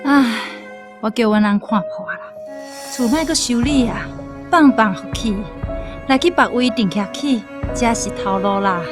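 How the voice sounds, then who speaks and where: Actress doing a resigned taiwanese village woman, practical and persuasive, voice a bit high, radio play. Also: unhurried, music in the background, natural voice. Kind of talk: Taiwanese radio drama acting.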